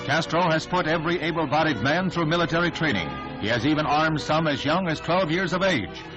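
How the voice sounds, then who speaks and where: A man's voice: on the high side, expressive, a little fast. An American man reading scripted 1960s newsreel narration in a formal, dramatic, declamatory manner. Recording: dull vintage 1960s newsreel soundtrack. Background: loud orchestral newsreel music.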